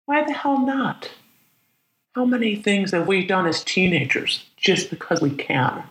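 A man acting in a radio play as a lonely, weary survivor of a disaster, musing aloud in a halting, conversational monologue. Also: high-pitched, big pitch swings, echoey room, heavy background noise, full character voice. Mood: indignant, reflective. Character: lonely, weary, reflective male survivor wandering after disaster. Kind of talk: dramatic monologue, spoken like an audio diary.

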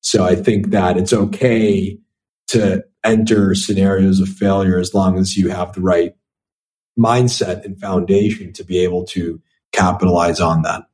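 Young male investor guest, low-ish voice, reflective, restarts sentences often. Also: fairly even intonation, a little slow, darkish tone. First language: Italian. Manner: thoughtful, repeats words when starting sentences. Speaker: venture capital investor, guest. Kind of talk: podcast interview answers.

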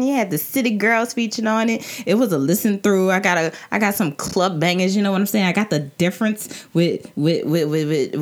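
A woman from the New Orleans area with a local accent, reviewing a rap album in a chatty, slang-filled solo podcast. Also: medium pitch. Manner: chatty and animated, frequent restarts and slang.

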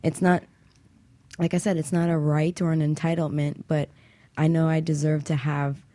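A woman with a low voice, captured close and dry. She co-hosts a casual, reflective talk and speaks haltingly, feeling for the right words. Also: little pitch movement, dark tone, no echo. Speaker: radio show co-host.

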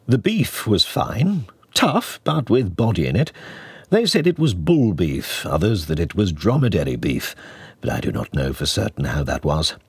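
A man reading literary narration as an audiobook, in a deliberate, theatrical storytelling manner with varied emphasis. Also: low-pitched, very animated, darkish tone, breathy edge, dry recording. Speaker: audiobook reader.

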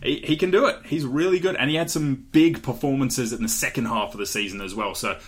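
Young Australian male podcast host, average pitch, casual chatty delivery with fillers. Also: fast. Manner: chatty, laughs often, many fillers. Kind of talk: casual chat, draft analysis.

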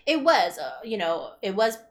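A woman's voice: mid-range voice, sing-song, moderate pace. A young woman hosting a casual podcast chat. She talks in a rambling, reflective way, with lots of fillers and restarts. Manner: rambling, reflective, laughs often. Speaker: podcast host. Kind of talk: casual chat among friends.

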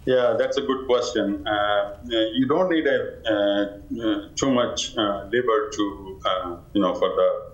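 A middle-aged man, a transplant physician, answering interview questions haltingly over a video call. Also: lowish voice. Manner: halting, many pauses. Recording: roomy, dull video call audio.

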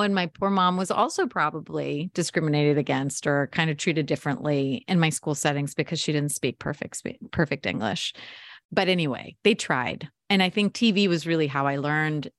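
A woman's voice: low-pitched, no room sound, studio quality. A woman from the United States, a podcast guest, telling stories in a casual interview and acting out quoted dialogue. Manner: lively storyteller, acts out quoted dialogue.

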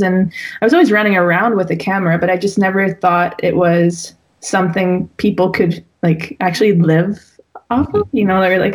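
A young woman speaks as a guest in a relaxed interview and rambles with fillers and restarts. Her voice sits at a middle pitch. She talks informally and thinks aloud. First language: Dutch. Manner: talkative, full of fillers and restarts. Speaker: guest, wedding and family photographer. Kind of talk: casual interview conversation.

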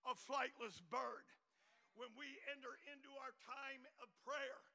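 Male pastor, fiery exhorting preacher, high raspy voice, sharp edge, shouts often. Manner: shouted exhortation, urging the congregation to praise. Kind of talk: fiery Pentecostal sermon. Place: church sanctuary.

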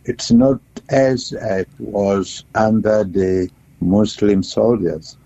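A man with a South Sudanese accent, a bishop speaking haltingly and deliberately as an interview guest over the phone. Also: lowish voice, steady tone, creaky, dark tone. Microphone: telephone. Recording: dull, muffled phone line.

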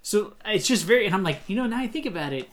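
A man's voice: sits high, lively intonation, fast, a bit bright. A man co-hosting a podcast, chatting casually and animatedly, swearing and mocking as he talks. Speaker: podcast co-host. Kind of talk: casual chat.

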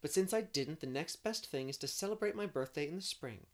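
A woman's voice: deep voice, animated, a little fast, voice pushed up. A woman voicing a dreamy, talkative young woman in an audiobook, effusive and poetic in manner. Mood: dreamy, eager. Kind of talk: audiobook character reading.

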